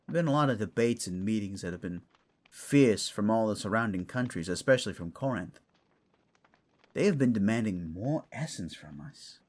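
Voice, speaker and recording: A man acts out an older, bookish fantasy lord with a lowish voice that swings widely in pitch. He is wry and gruff, loyal underneath, and speaks with theatrical relish as in an audio drama. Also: expressive, moderate pace, darkish tone. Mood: wry, musing.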